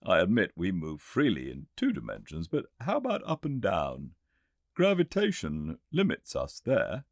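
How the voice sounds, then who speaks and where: A man voicing a Victorian gentleman inventor with a British accent, lecturing eagerly and confidently in an emphatic audiobook performance. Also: deep voice, wildly swooping pitch, gravelly, no echo, studio quality, full character voice. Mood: eager rebutting. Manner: emphatic, didactic, pauses to make points. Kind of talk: audiobook character dialogue.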